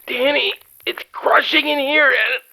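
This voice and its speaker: A man playing a panicky trapped scientist, strained and halting, in an audio drama. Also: very high-pitched, fairly even intonation, gravelly, pushed and bright, full character voice, rough recording. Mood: panicked. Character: panicky trapped male scientist, reporting from inside a giant creature.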